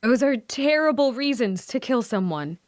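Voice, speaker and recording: A woman playing a principled, soft-hearted adventurer with an earnest, hesitant manner in a fantasy audio drama. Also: on the high side, animated, moderate pace, dry recording, clean studio sound, close to an everyday voice. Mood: morally outraged.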